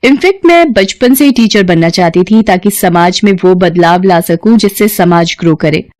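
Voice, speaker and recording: A woman's voice: fairly low pitch, moderate pace, a little mellow, natural voice. A woman voicing a principled female tutor in an audio story, firm and admonishing in manner. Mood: gracious. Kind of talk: dramatized audio story acting.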